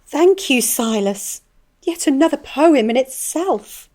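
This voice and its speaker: High, very slow, plaintive woman poet. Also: expressive, mellow. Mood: solemn. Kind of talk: radio drama acting.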